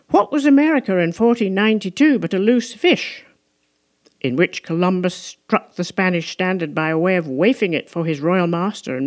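A woman narrating a literary audiobook in a measured, rhetorical manner. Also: medium pitch, a little mellow. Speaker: audiobook reader.

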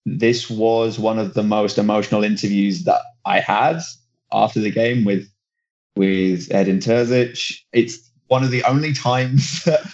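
Mężczyzna, entuzjastyczny reporter piłkarski, lekko stłumione nagranie. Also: male voice, on the low side, darkish tone, lo-fi sound. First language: not German; German is his second language. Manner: enthusiastic, rambling with restarts. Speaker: football reporter. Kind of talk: casual podcast chat.

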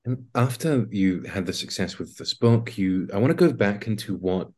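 A man with a deep voice hosts a conversational interview. He sets up his questions deliberately, in short measured phrases. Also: lively intonation, a little mellow. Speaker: interview host.

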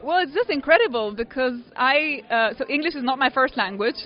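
A woman's voice: high voice, lively intonation, brisk. A woman author, French native speaker, speaking earnestly in English and searching for her words. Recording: dull audio.

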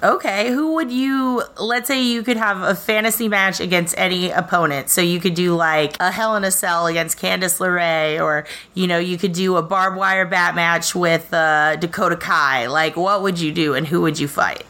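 Woman podcast host, bright forceful tone, eager chatty questions. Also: on the low side, normal speed, few pauses. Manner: eager, chatty, laughs often. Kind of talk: casual interview chat.

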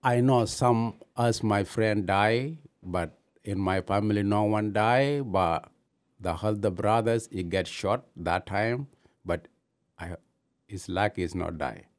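A man from Ethiopia, telling his own story in halting, with many pauses. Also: lowish voice. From Gambela, Ethiopia. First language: not English. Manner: halting non-native English, many pauses. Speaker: interviewee, new citizen. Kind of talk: personal story in an interview.

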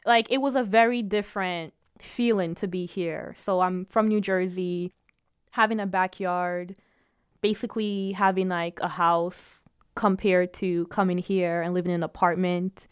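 A woman's voice: on the low side, fairly even intonation, measured pace, clean tone, dry recording, clean studio sound. A woman from Jamaica telling personal stories in a reflective, conversational way. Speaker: interviewee telling their story.

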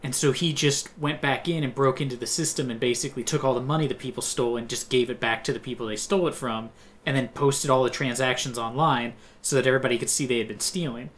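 A podcast co-host in casual movie talk, with a mid-pitched voice. This co-host tells stories freely and acts out earlier thoughts while explaining. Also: steady tone, quick pace, a little hiss or hum. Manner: chatty storyteller, acts out own past thoughts. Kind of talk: casual movie review chat.

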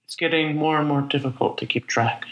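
A man acting in a radio play as a lonely, weary survivor of a disaster, musing aloud in a halting, conversational monologue. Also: a little high, steady tone, fairly bright tone, echoey room, close to an everyday voice, low-quality recording. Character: lonely, weary, reflective male survivor wandering after disaster. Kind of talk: dramatic monologue, spoken like an audio diary.

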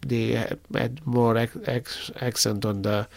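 A man from Transylvania, telling a story in a halting way, with many fillers and restarts. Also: mid-range voice, little pitch movement, creaky, darkish tone, dry recording. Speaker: interviewee. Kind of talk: casual conversational storytelling.

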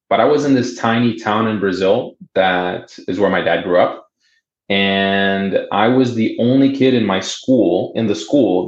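Low-voiced man telling his story. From Boston area, United States. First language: Portuguese. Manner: relaxed and halting, with many fillers and restarts. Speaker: guest. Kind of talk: casual interview, storytelling. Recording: roomy, dull remote audio.

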